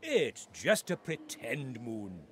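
An actor playing an excitable, welcoming rabbit space tour guide in a cartoon game, with theatrical delivery. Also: male voice, medium pitch, takes it slowly, a little hiss or hum. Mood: cheerful, explaining. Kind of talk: cartoon game character acting.

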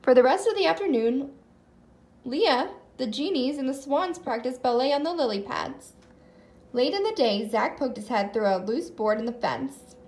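A woman reading a children's storybook aloud as narrator and host, in an animated style. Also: high voice, moderate pace. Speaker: storybook reader, channel host.

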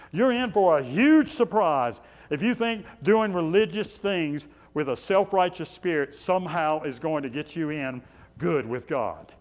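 A pastor in his sixties delivers a sermon in a fairly high male voice. He drives points home with short, repeated phrases, then drops into conversational asides. The church around him adds a long reverb. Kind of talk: sermon, preaching. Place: small church sanctuary, indoors.

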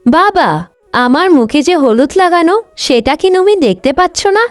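একজন মহিলা কার্টুন গল্পে অহংকারী, স্বার্থপর তরুণী বড় বোনের চরিত্রে কণ্ঠ দিচ্ছেন, কথায় বকাঝকা আর অভিযোগের সুর।. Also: female voice, sits high, lively intonation, normal speed, dry recording, faint music behind. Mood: irritated, sulky. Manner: scolding, complaining. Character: vain, selfish, sharp-tongued young elder sister. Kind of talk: Bengali cartoon story voice acting.

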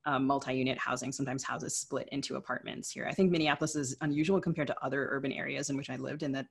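A woman's voice: a bass voice, fairly even intonation, fast, creaky, husky. A woman from the US East Coast speaking conversationally as a podcast co-host, explaining things in a discursive way. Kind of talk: conversational interview talk.

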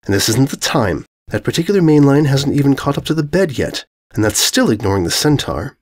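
A man's voice: mid-range voice, very animated, brisk, voice pushed up. A man voicing a huge, regal elder pony mare with a composed, polite manner in a fantasy story.

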